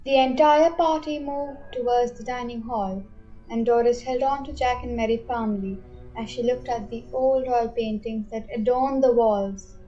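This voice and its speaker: A woman narrates a radio play in a high voice. It is scripted storytelling, with faint music underneath. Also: steady tone, normal speed, dark tone, highs cut off.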